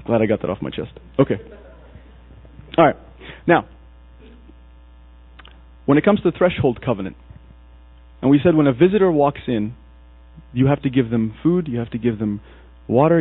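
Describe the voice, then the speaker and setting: A man from Egypt preaches and tells stories, acting out the people in them. His voice is a little husky at a middling pitch. It comes through a tinny, dry, phone-like recording with a mains hum. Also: moderate pace, long pauses, dry recording. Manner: storytelling, animated, acts out quoted characters. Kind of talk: sermon.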